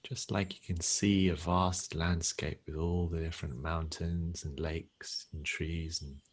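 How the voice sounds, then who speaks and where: A man leading a guided meditation as a teacher, speaking gently and without hurry, leaving long pauses. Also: low-pitched, fairly even intonation, breathy edge.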